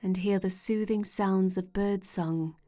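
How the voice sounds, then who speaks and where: A woman guides a meditation at a very slow, unhurried pace. Her voice is soft-toned and mellow, sitting at a medium pitch. She speaks gently and soothingly, leaving measured pauses, over faint music with singing-bowl tones. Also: fairly even intonation. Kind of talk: guided meditation narration, scripted.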